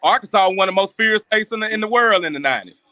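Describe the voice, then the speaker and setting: A man with a high, very bright voice who talks quickly and earnestly. He stumbles and repeats himself as he gets his point started. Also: lively intonation. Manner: earnest, repeats words while starting sentences. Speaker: podcast co-host. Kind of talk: casual debate.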